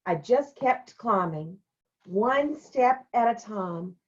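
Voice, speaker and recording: A woman's voice: medium pitch, lively intonation. Woman reading to children, dull lo-fi recording. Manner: simple, deliberate phrasing aimed at young children. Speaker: elementary school staff member reading a picture book to children. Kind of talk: children's storybook read-aloud, addressing young pupils.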